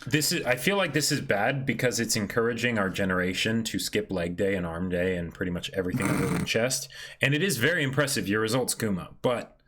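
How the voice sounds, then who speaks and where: A man's voice: fairly low pitch, quick pace, few pauses, a bit bright. A man co-hosting a manga reading, chatting casually and joking as he comments. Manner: playful, joking. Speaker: co-host of a manga dramatic reading.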